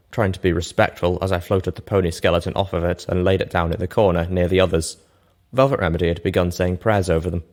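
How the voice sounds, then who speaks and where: A man narrating a first-person fantasy story from a script, measured and expressive. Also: sits low. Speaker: narrator of a fan fiction reading show.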